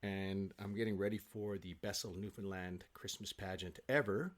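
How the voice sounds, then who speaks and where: Low-pitched man, casual and rambling. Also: moderate pace. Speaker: guest, stage actor. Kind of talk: casual conversational interview. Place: home office, on a video call. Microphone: video call microphone.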